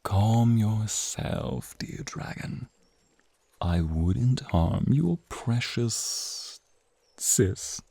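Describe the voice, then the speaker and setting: A man voicing a flirtatious, teasing male naga in an ASMR roleplay, speaking in a seductive, breathy, drawn-out way to the listener. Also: on the low side, mellow, no room sound, heavily acted. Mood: smug, amused. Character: flirtatious, teasing male naga, crimson-eyed protective companion. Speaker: voice actor.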